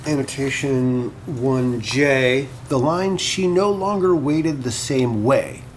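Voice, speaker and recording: An actor playing a scholar who muses hesitantly over notes on an ancient myth in an audio drama, pausing between short phrases, with noise behind him. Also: male voice, mid-range voice. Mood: pensive. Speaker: scholar and commentator. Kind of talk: audio drama monologue as informal annotated commentary.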